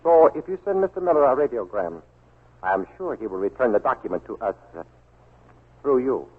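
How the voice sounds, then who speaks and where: Through a scratchy, thin 1940s radio recording comes an old Japanese baron. He is husky, measured and formal, all cool politeness and quiet menace. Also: mid-range voice, expressive, narrow, tinny audio. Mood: coolly polite menace. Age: older.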